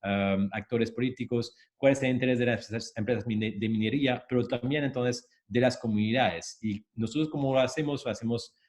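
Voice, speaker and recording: A man's voice: medium pitch, a little fast, few pauses, a little mellow. A man, a native French speaker with a strong French accent, presenting informally as an academic, repeating words as he goes. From French-speaking country. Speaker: panel speaker, academic and consultant.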